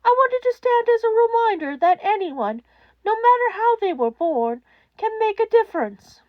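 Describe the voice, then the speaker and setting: Woman as a high-pitched, kindly animal principal. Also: sing-song, normal speed, no echo, lo-fi sound. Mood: gentle, earnest. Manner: gentle, precise, bright. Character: small animal school principal, male, kindly and earnest. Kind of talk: audiobook character acting.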